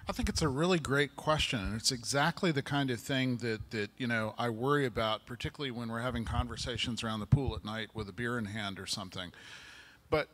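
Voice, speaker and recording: A man's voice: mid-range voice, brisk, a bit bright, a little hiss or hum. An older man speaking earnestly as a panelist in a large lecture hall, on a handheld mic. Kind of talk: panel discussion.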